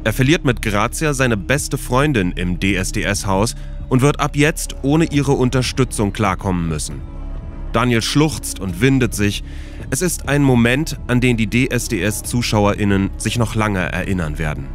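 A man with a fairly low voice narrates a documentary podcast. He reads from a script as a storyteller would. Faint music plays underneath him. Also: lively intonation, normal speed.

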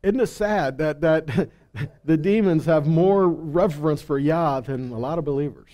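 A man's voice: fairly high pitch, a little slow, mellow. A man in his thirties preaching a sermon on a small indoor stage through a headset mic. He gestures as he talks and reads scripture aloud. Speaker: pastor. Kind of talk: sermon teaching.

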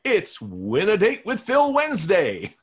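A man's voice: high voice, wildly swooping pitch, unhurried, slightly rough, heavily acted. A man playing an eager, hopelessly romantic forty-five-year-old radio host in a comedy table read. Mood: eager, hopeful. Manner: eager, pleading.

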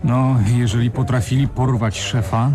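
Actor as streetwise gangster, mid-pitched voice. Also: male voice, level tone, normal speed, crowd noise, close to an everyday voice. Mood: grim, reasoning. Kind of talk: radio crime drama acting.

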